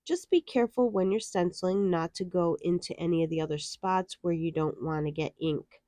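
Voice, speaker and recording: A woman's voice: lowish voice, normal speed, warm tone, no room sound, studio quality. A woman hosting a card-making tutorial, explaining each step in a cheerful, chatty way, sounding nasal from a head cold. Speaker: card-making channel host. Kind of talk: crafting tutorial narration.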